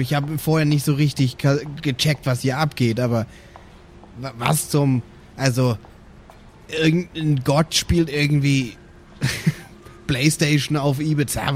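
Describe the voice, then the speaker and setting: A man's voice: fairly high pitch, slight background noise. A man improvising casual banter as a player in a role-playing podcast.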